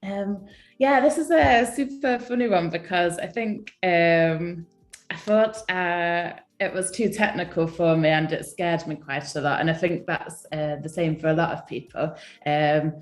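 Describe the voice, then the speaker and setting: A young woman from England, a guest designer chatting casually on a live stream, hesitant with many ums and soft laughs. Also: on the low side, a little slow, echoey room, soft background music.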